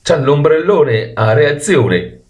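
A man's voice: fairly high pitch, lively intonation, slow, voice pushed up. A man voicing cheeky, amazed beachgoers in a storybook tale, colloquial and calling out. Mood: teasing.

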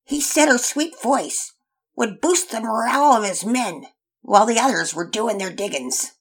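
A woman voicing gruff male pirates in a storybook reading, growling pirate talk with loud exclamations. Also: fairly high pitch, lively intonation, normal speed, voice pushed up. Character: gruff male pirates, Redbeard and Cockeye Jay.

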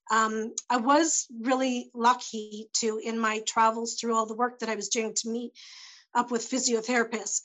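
A woman speaking as a panel guest, giving halting personal testimony with many pauses and restarts as she searches for words, her voice tending to go hoarse. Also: fairly high pitch, a bit bright, poor audio. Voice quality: voice tends to go hoarse.

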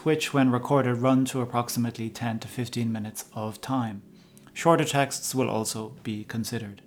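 A man presents a podcast in a mid-pitched voice, reading from a prepared script. Instrumental music plays clearly behind him. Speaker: podcast host.

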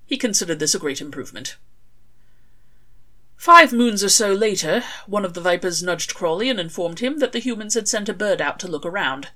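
A woman narrating a scripted story in an expressive, wry storytelling manner. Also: medium pitch, fairly quick. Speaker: author reading own fan fiction.

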